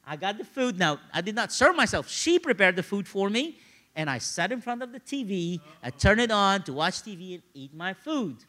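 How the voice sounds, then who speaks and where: A male preacher delivers a sermon full of personal stories. His voice is high and bright, swinging widely in pitch. He talks conversationally and repeats phrases to drive a point home. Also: very animated, moderate pace. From Egypt, grew up in Cairo.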